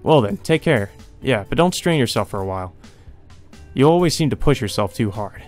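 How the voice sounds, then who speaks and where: A man's voice: mid-range voice, expressive, moderate pace, a little mellow, lightly acted. Guy voicing a nice doctor in a visual novel, reassuring, bit of scolding, music behind. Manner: reassuring, mildly chiding. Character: kindly doctor giving a patient the all-clear.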